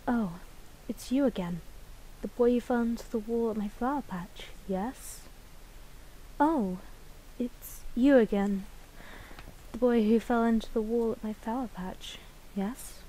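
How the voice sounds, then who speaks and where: Woman voicing a soft, mellow, shy flower girl. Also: on the high side, unhurried, pauses often, slight background noise, lightly acted, rough recording. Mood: gentle recognition. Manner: soft, hesitant, wondering. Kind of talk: amateur cartoon character audition lines.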